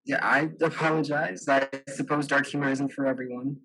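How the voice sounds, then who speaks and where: A man's voice: medium pitch, steady tone, moderate pace, bright, forceful tone, low-quality recording. A young man playing a smug, tactless date in a student stage drama, glib and dismissive. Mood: glib, defensive. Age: young adult.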